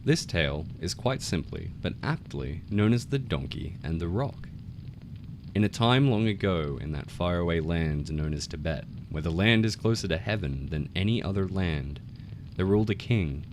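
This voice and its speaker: A man with a very deep voice tells folktales in a measured, theatrical manner. He sounds like a bard reading from a script, with some background noise behind him. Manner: theatrical, measured bardic delivery. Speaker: storyteller.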